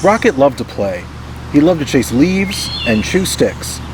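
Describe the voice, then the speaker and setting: Male narrator for children's storybook, highish voice, very animated; clear background noise. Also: measured pace. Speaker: storybook reader.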